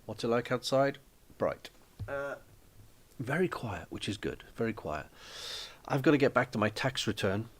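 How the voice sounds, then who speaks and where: A man hosting a live stream from his small flat, chatting casually and rambling with lots of ums and restarts. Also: mid-range voice, moderate pace. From Wales.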